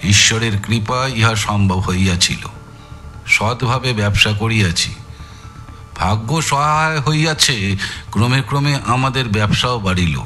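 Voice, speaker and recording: A shrewd older detective in an audio story, slow drawl, bright voice, hums while he thinks. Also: on the low side, faint music behind. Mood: reading gravely. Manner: drawling, musing, punctuated with thoughtful hums. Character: shrewd, teasing older male amateur sleuth. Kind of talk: dramatised audio story acting.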